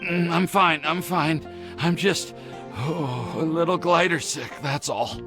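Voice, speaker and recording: A man's voice: fairly high pitch, slow pace, over music. An actor playing an anxious, lovestruck young male alchemist in an anime game, hesitant and stammering. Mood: queasy, reluctant. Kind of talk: anime video game voice acting.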